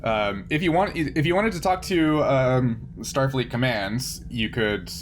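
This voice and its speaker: A man's voice: medium pitch, a little slow, a little hiss or hum. A man narrating as game master of a tabletop roleplaying game, improvising and often searching for words.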